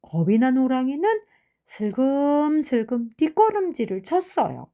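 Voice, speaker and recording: A woman narrating children's fairy tales in an expressive, measured storybook manner. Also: sits high. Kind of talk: animated fairy tale narration.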